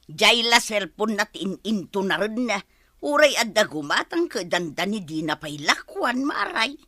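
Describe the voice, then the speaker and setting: An actress playing an excitable, gossipy village woman in an Ilocano radio comedy drama. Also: mid-range voice, a little slow, no room sound, studio quality, exaggerated voice. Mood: gossipy, curious.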